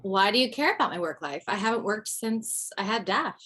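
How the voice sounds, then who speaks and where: A woman's voice: medium pitch, lively intonation, a little fast, fairly bright tone. A woman playing a dry, hesitant middle-aged widowed mother who used to do standup, in a comedy table read. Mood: sardonic. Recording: video call.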